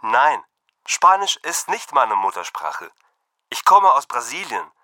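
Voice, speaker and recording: A friendly young student whose first language is Portuguese, as a man acts him out, spells things out slowly and carefully for a textbook listening exercise. His bright voice swings lively up and down. It is a close, dry studio recording. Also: mid-range voice, no room sound, full character voice. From Brazil. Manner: slow, carefully enunciated. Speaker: student calling a friend for help. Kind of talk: scripted textbook dialogue.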